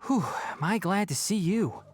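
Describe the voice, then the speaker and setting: A woman's voice: mid-range voice, expressive, moderate pace, heavy background noise, natural voice. A woman voicing a polite, wry young male forest watcher with a courteous manner in a video game. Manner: courteous, mildly exasperated.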